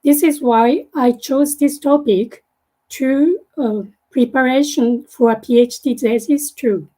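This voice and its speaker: A woman from Japan with a Japanese accent, a native Japanese speaker, giving a halting presentation over a video call with many fillers and restarts. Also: sits high, mellow, rough recording. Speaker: guest presenter.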